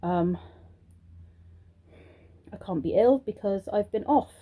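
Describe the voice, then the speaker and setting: Throaty stuffed-up british woman chatting away at home, lots of ums, mellow voice. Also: medium pitch, slow pace, slightly rough. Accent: British English. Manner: chatty and rambling, many ums, sing-song praise when talking to children. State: congested, throaty from a sore throat. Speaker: vlogger and childminder. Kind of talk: casual vlog chat to camera. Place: at home, indoors.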